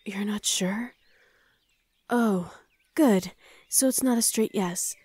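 A woman playing a flustered, gentle young demon hell knight in an intimate ASMR roleplay, hesitant and soothing, often slipping into a breathy whisper. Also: medium pitch, takes it slowly, dry recording. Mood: reassuring, hesitant.

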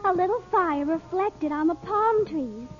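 Radio serial daughter: girlish, extremely high voice, eager and chatty, dull vintage recording. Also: lively intonation, unhurried. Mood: chatty, excited. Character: chatty, eager young daughter on desert adventure. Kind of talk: 1940s radio drama acting.

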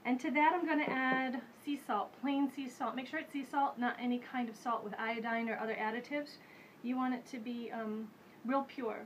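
A woman's voice: fairly high pitch, normal speed, slight background noise. A woman in her thirties hosting a casual how-to demonstration in her home kitchen. She chats loosely and thinks aloud with plenty of fillers. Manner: chatty, relaxed, thinks aloud with many fillers. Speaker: host of a home witchcraft show. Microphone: camera mic at medium distance.

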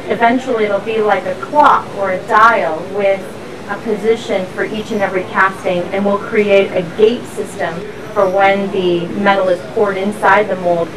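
A woman explaining things step by step as a factory tour guide. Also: mid-range voice. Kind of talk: explanatory walk-through tour. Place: busy factory floor. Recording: factory noise, somewhat distant mic. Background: machinery and workshop noise.

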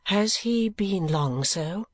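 A woman doing a gentle, earnest young lady. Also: mid-range voice, lively intonation, slow, no room sound, pitched above the performer's own voice. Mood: compassionate. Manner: soft, earnest, questioning.

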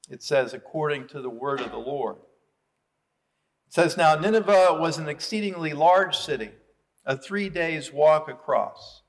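A middle-aged man delivers a sermon from the pulpit of a small chapel, taking his time over each phrase. His voice sits slightly high and he often stops to ask the congregation a question. The sound is picked up by a camera at a distance. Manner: slow, deliberate preaching with rhetorical questions. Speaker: chaplain. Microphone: far camera, speaking from pulpit.

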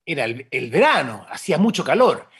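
A man with a Chilean accent narrates an improvised tale over a video call. His voice is very husky and his pitch moves around in a lively way. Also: brisk. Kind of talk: improvised storytelling. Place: indoors at home, on a video call.

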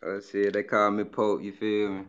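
A man's voice: fairly low pitch, completely flat delivery, normal speed, clear, resonant tone, dull audio. A man in his twenties with a Southern US accent, talking in a laid-back, slangy way as a dating show contestant. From Birmingham, Alabama. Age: 20s. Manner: laid-back, slangy, says "you feel me" often. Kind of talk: casual chat.

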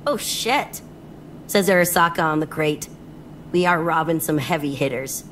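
A woman's voice: deep voice, moderate pace, fairly bright tone, slight background noise, poor audio. Curt, synthetic nomad mercenary voiced as a woman. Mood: guarded. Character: tough, guarded young female nomad mercenary. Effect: AI-generated voice. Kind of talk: video game dialogue.

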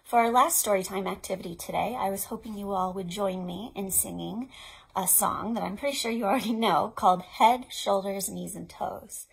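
A woman's voice: mid-range voice, normal speed, gravelly, breathy edge, poor audio. An American woman in her thirties hosting a children's storytime, talking in an encouraging, instructional way to young children and parents. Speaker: children's librarian, storytime host. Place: small play tent indoors.

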